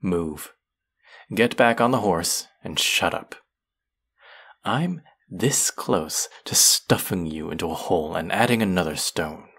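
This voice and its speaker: A man playing a bitter, menacing fantasy villain in an audio roleplay, with a gravelly, husky voice and a sardonic edge. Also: deep voice, animated, fairly bright tone, heavily acted. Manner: menacing, sardonic, slow and deliberate. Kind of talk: dramatic audio roleplay acting addressed to the listener.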